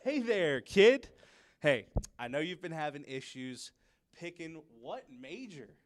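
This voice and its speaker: A man's voice: on the high side, big pitch swings, slow, dry recording. Chatty young male student reporter. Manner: chatty, direct to camera. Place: campus outdoors and hallway.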